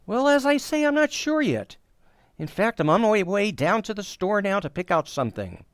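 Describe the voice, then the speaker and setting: A man's voice: sits high, big pitch swings, normal speed, dry recording, studio quality. A man acting a well-meaning, opinionated suburban husband and father in an old-time radio sitcom, in a hesitant, folksy manner. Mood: earnest, hesitant. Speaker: actor in community radio theater.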